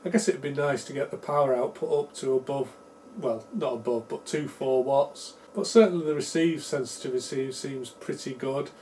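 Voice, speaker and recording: A man's voice: medium pitch, moderate pace, warm tone, slight background noise. A man presenting an electronics repair video in a casual, unscripted way, explaining each step tentatively and with self-deprecation. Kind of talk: casual unscripted technical walkthrough.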